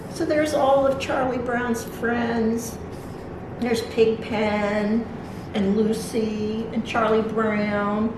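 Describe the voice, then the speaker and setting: A woman reading a picture book aloud to young children, adding simple explanatory asides as a library storytime reader. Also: fairly high pitch. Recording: very echoey room, distant mic, noisy.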